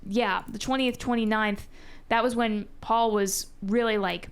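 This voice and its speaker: A woman's voice: fairly high pitch, fairly even intonation, unhurried, clear, resonant tone. A woman talking through her theories casually as a reaction show co-host. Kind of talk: casual analytical discussion.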